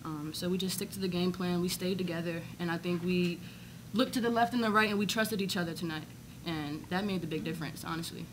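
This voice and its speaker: A young woman athlete speaks upbeat and slightly low into a desk microphone at a postgame press conference, with plenty of filler ums. A clear layer of noise sits under the voice throughout. It is an indoor press room rather than a studio. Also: steady tone, fairly quick, rough recording. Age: young adult. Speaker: college basketball player. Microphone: desk microphone on stand.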